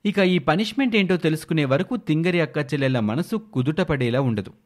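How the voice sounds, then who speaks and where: A man narrating an animated moral tale in a scripted storytelling style. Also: fairly high pitch, brisk.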